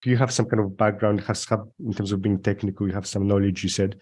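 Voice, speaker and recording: Man, podcast host, low mellow voice, relaxed conversational questions. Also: quick pace, slightly rough. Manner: relaxed, conversational, with hesitant restarts. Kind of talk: casual interview questions.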